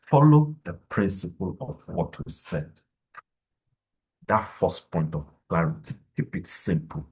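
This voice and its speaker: A man's voice: sits low, darkish tone, breathy edge, rough recording. A middle-aged man, speaking in a webinar and explaining with many fillers and restarts. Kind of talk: webinar talk.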